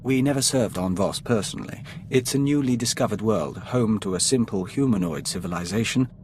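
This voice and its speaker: A man's voice: on the low side, brisk, a little mellow, a little hiss or hum, natural voice. A man playing a formal intelligence analyst in a video game briefing, precise, clipped and matter-of-fact. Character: crisp, formal male Imperial intelligence analyst.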